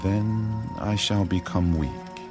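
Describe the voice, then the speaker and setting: A man's voice: low voice, slow pace, over music. An actor playing a guarded ancient strongman, speaking intimately in a dramatic audio Bible production.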